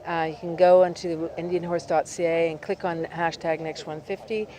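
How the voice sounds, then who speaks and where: A woman's voice: fairly low pitch, level tone, moderate pace, a crowd in the background. A woman producer, speaking upbeat in an interview and reeling off figures. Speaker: film producer. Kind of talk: interview at a film market.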